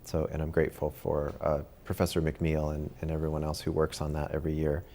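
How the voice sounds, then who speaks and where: Deep gravelly-voiced man hosting. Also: completely flat delivery, dark tone, a little hiss or hum. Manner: friendly, halting with many ums. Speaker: host. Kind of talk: casual interview chat.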